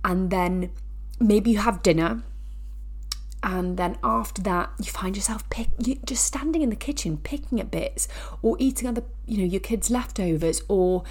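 A woman hosting her own fitness podcast, her voice blocked and nasal from a recent cold. She talks in a loose, chatty way, often restarting sentences and tossing in asides, at an ordinary middle pitch. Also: moderate pace, breathy edge. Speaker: podcast host. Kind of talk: casual educational podcast talk.

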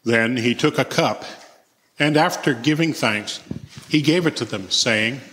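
Male scripture reader for narration, bright and forceful, recorded in a reverberant church. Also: medium pitch, measured pace. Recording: echoey church hall, long reverb.

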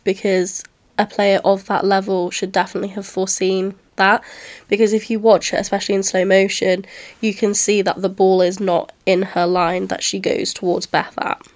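A woman's voice: medium pitch, little pitch movement, normal speed. A woman with a nasal, congested voice from a cold, hosting a solo football podcast with a semi-scripted match recap. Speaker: podcast host.

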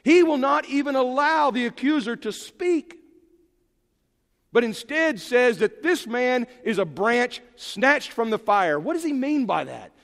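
Man preaching, pretty high voice, swings loud to hushed, big echoey hall. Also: a little slow, gravelly. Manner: animated, emphatic preaching with sudden drops to quiet. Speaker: pastor. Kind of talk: sermon. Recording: long hall reverb.

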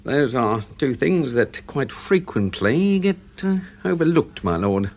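Husky, deferential English manservant. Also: male voice, medium pitch, very animated, a little mellow. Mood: dryly respectful. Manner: formal, deferential, measured. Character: dry, deferential English gentleman's manservant, discreet and meticulous. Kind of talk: period radio drama acting.

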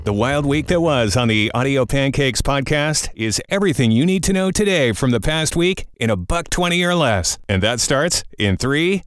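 A man's voice: mid-range voice, fairly bright tone, no room sound, studio quality. A middle-aged American man hosting a podcast in upbeat morning-radio style, with jokey, informal asides. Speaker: podcast host. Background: music.

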